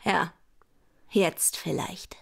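Radio drama: shrewd secretary, heavy vocal fry, husky, knowing, closely recorded. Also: female voice, on the low side, extremely slow, dry recording, whispered, studio quality, full character voice. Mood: teasing, probing. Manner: knowing, probing, frank. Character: shrewd, teasing female secretary of private detective. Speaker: actress in a radio crime drama.

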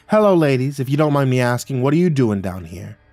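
A male narrator voices a mean, menacing thug in a horror story. He is suspicious and taunting, pushing his words out forcefully. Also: mid-range voice, lively intonation, normal speed, no room sound, faint music behind. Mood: sly suspicion.

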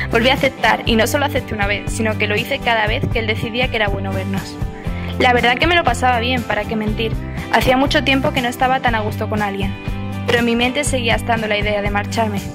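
An actress narrating as a twenty-year-old student, in a wry, calmly confessional voice-over. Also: female voice, a little high, natural voice. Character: dry, aloof young woman student abroad. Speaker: narrator of her own story. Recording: voice-over with music behind.

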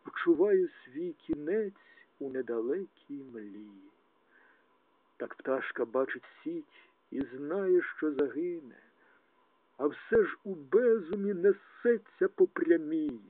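Man, dramatic poetry recital, solemn and declamatory, unhurried delivery, hushed phrase endings, dry narrow telephone-like audio. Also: a little high, expressive, no room sound. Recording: tinny phone-like narrow band, dry.

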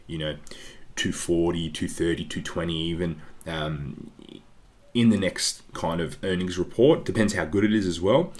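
A very low-voiced man talks through share picks in a loose, chatty way. His voice sounds congested, and he keeps calling his audience "guys" between ums. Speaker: investing channel host. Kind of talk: casual stock analysis over slides. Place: voice-over on screen-share slides.

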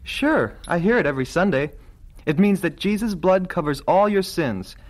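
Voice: medium pitch, expressive, moderate pace, close to an everyday voice. An actor playing a reluctant, questioning twelve-year-old boy in a vintage radio drama, earnest and defensive.

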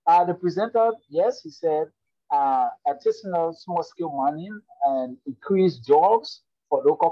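A man's voice: on the high side, warm tone, no echo. A man, speaking earnestly with many fillers and restarts as a webinar participant on a video call from a public space. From Liberia. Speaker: webinar participant asking a question. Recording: dry, dull lo-fi call audio.

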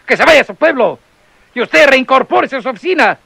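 A man's voice: extremely high pitch, expressive, fairly quick, gravelly, bright, forceful tone, husky, exaggerated voice. An actor playing a curt, dismissive male police captain in an old radio drama. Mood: scornful. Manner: curt, scornful. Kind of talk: 1960s radio drama acting.

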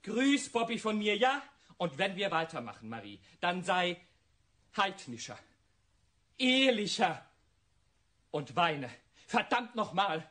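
Bright, cynical radio director. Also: male voice, sits high, big pitch swings, slow, long pauses, heavily acted. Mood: commanding. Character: impatient, cynical male radio director, bossy. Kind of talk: German radio drama dialogue.

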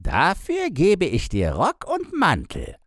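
A man voicing a sly, bargaining Devil in a fairy-tale audiobook, mocking and commanding, with a theatrical, drawn-out delivery. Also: fairly high pitch, wildly swooping pitch, a bit bright, no room sound, studio quality, voice pushed up, exaggerated voice.

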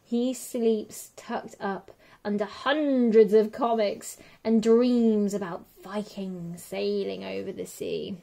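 A woman reading a children's picture book aloud as a teacher would, in an expressive, measured way. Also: medium pitch, creaky. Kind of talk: children's storybook read-aloud.

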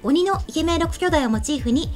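Very high-voiced, quick woman presenter. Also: lively intonation, darkish tone. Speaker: host. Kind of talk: polite show presenting with light chat. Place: small studio, seated at desk. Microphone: desk microphone. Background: background music.

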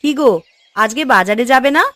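A woman voicing a caring, gently scolding village housewife in a cartoon, coaxing and homely. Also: on the high side, a little fast, no room sound, close to an everyday voice. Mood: concerned scolding. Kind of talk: Bengali cartoon story dialogue.

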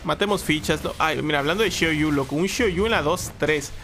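A young man, chatting casually while playing a strategy game, reading chat and breaking into loud exclaims. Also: on the high side, normal speed. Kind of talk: casual live gameplay commentary. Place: small room at home. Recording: game music behind the voice.

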